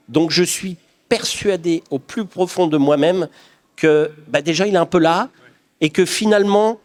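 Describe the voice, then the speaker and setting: A man's voice: a little high, a little mellow. A middle-aged man from the Paris region, answering interview questions in an earnest, reflective way with frequent restarts. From Paris region of France. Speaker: craftsman guest.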